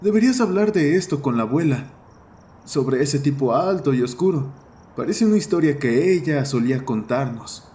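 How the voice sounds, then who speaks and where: A man's voice: on the high side, lively intonation, normal speed, warm tone, pitched above the performer's own voice, natural voice. A man voicing a skeptical, foul-mouthed teenage boy with a blunt manner in a horror audio story. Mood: concerned. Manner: blunt, irritated. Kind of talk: dramatized horror audio fiction.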